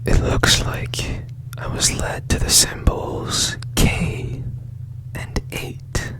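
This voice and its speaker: Guy acting as a weird secretive repair man, asmr roleplay, all breathy whisper, super slow and halting, curious and tender. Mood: mysterious, probing. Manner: slow, halting, hushed, lingering on phrases. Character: strange secretive repairman, curious and tender toward a broken robot.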